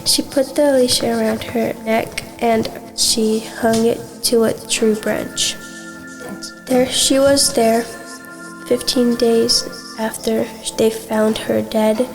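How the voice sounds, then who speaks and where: A woman's voice: a little high, little pitch movement, takes it slowly, vocal fry, low-quality recording. A girl in her early teens reading a scripted story aloud as a student, over music. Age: young teenager.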